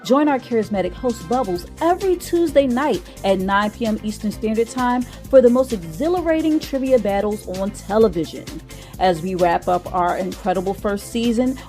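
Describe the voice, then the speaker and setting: A woman reads a scripted show promo in a punchy announcer voice, putting energy into the big lines. Faint music plays quietly beneath her. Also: on the high side. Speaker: host. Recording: faint music bed behind.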